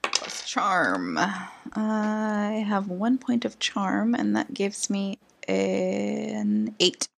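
A woman's voice: medium pitch, lively intonation, extremely slow, vocal fry. A woman playing her character in a tabletop game, wry and questioning with a playful edge. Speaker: guest player.

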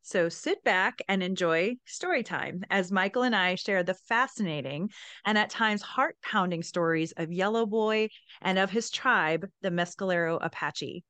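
A woman's voice: mid-range voice, measured pace, a bit bright, no echo. A woman podcast host chatting in a folksy, conversational way and speaking directly to her listeners. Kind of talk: conversational podcast introduction to story time.

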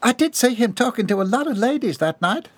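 A hesitant, chatty young woman, played by a male narrator. Her voice runs high and light, pausing on ums as she recalls. Dry recording. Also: animated, husky, no echo, exaggerated voice. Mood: recalling. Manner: hesitant, with fillers.

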